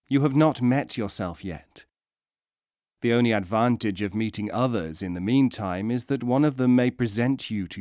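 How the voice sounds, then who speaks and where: A man reading philosophical teaching stories as a scripted audiobook narration, in a measured, emphatic, instructive way. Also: medium pitch, darkish tone, dry recording, studio quality.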